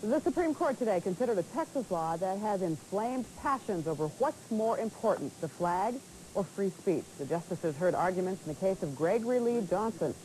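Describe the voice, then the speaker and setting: A woman's voice: mid-range voice, a little mellow, low-quality recording. A woman anchor reading scripted television news, heard from a hissy old broadcast tape.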